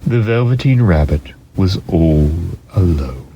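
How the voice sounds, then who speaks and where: A man giving a dramatic storybook narration in an expressive, deliberate way. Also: deep voice, lo-fi sound, slight background noise.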